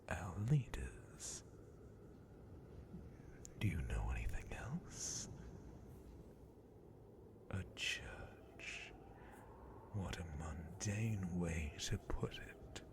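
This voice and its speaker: A man voicing an ancient, sadistic dragon captain in an ASMR roleplay, menacing and condescending in a breathy, gravelly whisper with drawn-out theatrical delivery. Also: deep voice, full character voice. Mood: menacing, probing. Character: ancient sadistic male dragon guard captain, possessive captor.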